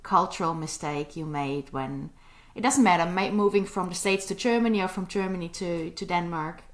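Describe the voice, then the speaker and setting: A woman hosting a podcast, asking her guest questions in a friendly way with pauses. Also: fairly low pitch. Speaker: podcast host. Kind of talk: interview questions.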